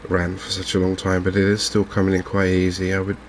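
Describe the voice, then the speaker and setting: Softly spoken, flat-toned low English man. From England. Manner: unhurried, hesitant, gently musing. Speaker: gaming video host. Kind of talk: softly spoken ASMR game commentary. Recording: faint background noise from open windows and fans.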